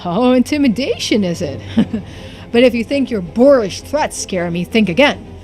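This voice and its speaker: Excitable, indignant treasure hunter for a game, female performer; theatrical delivery, lively swoops, music underneath. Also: a little high, normal speed, natural voice. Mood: indignant, dramatic. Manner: theatrical, wordy, boastful. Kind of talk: video game character voicing.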